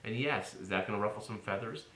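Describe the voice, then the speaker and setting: American male commentator, very low and forceful, sarcastic informal monologue, roomy recording with slight noise. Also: quick pace. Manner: opinionated, sarcastic, swings into mocking exclamations. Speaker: political commentator. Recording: roomy echo with faint noise.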